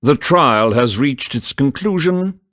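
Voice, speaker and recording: A man's voice: medium pitch, lively intonation, fairly bright tone, no room sound, clean studio sound. A man voicing a stern, pompous elderly judge of a medieval witch trial in a theatrical video game style, formal and easily flustered. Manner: formal, pompous, slow courtroom pronouncements, sputtering when surprised.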